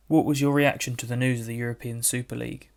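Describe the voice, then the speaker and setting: A male interviewer asking brisk, neutral questions in a documentary. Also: medium pitch, level tone, vocal fry.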